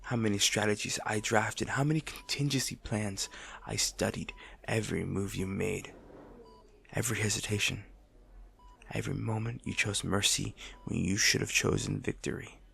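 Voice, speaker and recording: A man playing a brooding supervillain bitterly attached to his rival, in a hushed, theatrical audio roleplay monologue with deliberate pauses. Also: fairly low pitch, gravelly, breathy edge, heavily acted. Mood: bitter, conflicted. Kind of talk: dramatic audio roleplay monologue, addressed to the listener.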